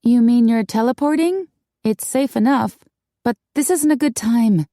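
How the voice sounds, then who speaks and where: A woman's voice: on the high side, a little slow, warm tone, no room sound, studio quality, pitched above the performer's own voice. A woman voicing an anxious, earnest young woman who asks urgent, worried questions in an audiobook story. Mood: hesitant.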